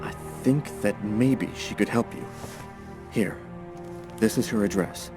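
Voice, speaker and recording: An actor playing a concerned, sincere man giving gentle advice in a video game. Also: lowish voice, slow, music in the background.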